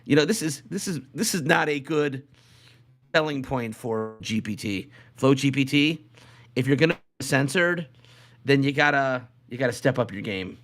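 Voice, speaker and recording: A man's voice: medium pitch, normal speed, fairly bright tone. A middle-aged man co-hosting a podcast in casual, rambling chat, circling back and repeating his phrases. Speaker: podcast co-host.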